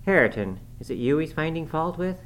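A man's voice: on the high side, sing-song, brisk, voice pushed up. A man voicing a cruel, hot-tempered middle-aged master in an audiobook, commanding and threatening. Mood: suspicious, irritated. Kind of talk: audiobook character acting. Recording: clearly noisy recording.

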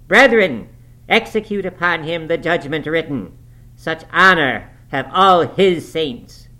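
A man's voice: on the high side, expressive, takes it slowly, bright, forceful tone, pitched above the performer's own voice, full character voice. A man voicing a preacher who declaims judgment in archaic, sermon-like speech. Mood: thundering. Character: thundering old preacher judging a sinner.

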